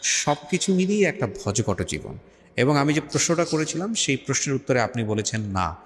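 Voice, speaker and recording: A man hosting a life-story show, speaking in a measured, advising way at an ordinary pitch. His voice rings with a long reverb, as if in a large hall. He slips English phrases into his talk. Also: sing-song. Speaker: radio show host. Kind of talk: interview and reflective commentary.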